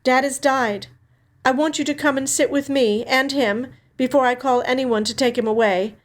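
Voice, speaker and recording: A woman reader plays a dutiful older sister in grief, her voice lifted noticeably high. She speaks gently and earnestly, with a self-sacrificing air, as in an audiobook story. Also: fairly even intonation, moderate pace, natural voice. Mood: sad, apologetic. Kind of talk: audiobook character dialogue.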